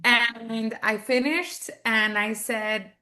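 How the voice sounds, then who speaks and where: A woman's voice: a little high, steady tone, extremely slow, bright, forceful tone. A woman in her thirties from Texas, telling her story in a chatty, upbeat way with lots of fillers, as a guest on a video call. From Texas, United States. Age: 30s. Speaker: guest, business owner. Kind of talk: casual interview on a video call.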